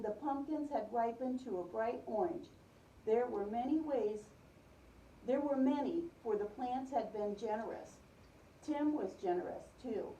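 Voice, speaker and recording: Very mellow woman reading aloud, roomy. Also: on the high side, a little hiss or hum. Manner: repeats and affirms pupils' answers. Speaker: preschool teacher reading a picture book to a class. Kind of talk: storybook read-aloud with questions to children. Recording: roomy, distant microphone.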